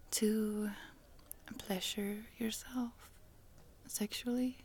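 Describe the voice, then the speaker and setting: A woman voicing a friendly, chatty young Swedish traveler with a Swedish accent, in a soft, giggly whisper for an ASMR roleplay. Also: on the high side, vocal fry, full character voice. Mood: puzzled.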